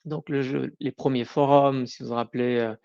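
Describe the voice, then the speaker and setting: Middle aged guy as podcast guest, gravelly fry, casual stop-start talk, bit muffled lo-fi audio. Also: mid-range voice, fairly even intonation, a little mellow. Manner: informal, halting, self-correcting. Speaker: podcast guest, company founder. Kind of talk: conversational interview answers.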